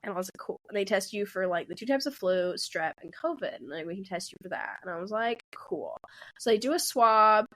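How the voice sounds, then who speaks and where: A woman's voice: medium pitch, lively intonation, a little fast, a bit bright. A woman in her twenties, a podcast co-host, telling a rambling story in casual chat and acting out what people said. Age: 20s. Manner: rambling, laughing, acts out quotes.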